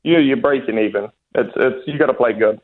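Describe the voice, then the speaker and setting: A man's voice: medium pitch, fairly quick. A young man from New Zealand with a New Zealand accent, a golfer answering interview questions casually, with many fillers and restarts. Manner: hesitant, many fillers and restarts, laughs easily. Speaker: professional golfer, guest. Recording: tinny phone line.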